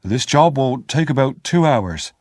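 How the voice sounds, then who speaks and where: A man voicing a kind, gentle blacksmith who speaks softly and plainly. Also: mid-range voice, expressive, unhurried, no room sound, studio quality.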